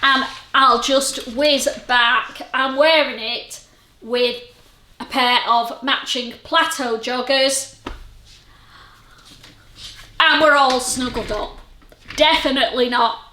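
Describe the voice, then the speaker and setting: A woman's voice: sits high, fairly even intonation, slow pace, pauses often, pushed and bright. A middle-aged woman with a Lancashire accent, chatting to camera in a friendly, rambling, self-deprecating way about her sewing vlog. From Lancashire, England. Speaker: sewing vlogger. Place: at home. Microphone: camera-mounted microphone. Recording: echoey room, camera mic.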